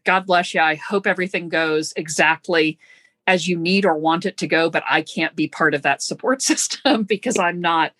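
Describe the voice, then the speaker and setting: A woman host speaking thoughtfully, with halting starts and gentle self-deprecation, in a conversational interview. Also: on the low side, no echo.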